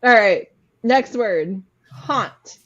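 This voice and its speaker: A woman's voice: on the high side, lively intonation, drawn-out words, a crowd in the background. Woman chatting casually, dull lo-fi sound. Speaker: host of a word-guessing game among friends. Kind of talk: casual, animated chat and storytelling. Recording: dull, lo-fi online audio.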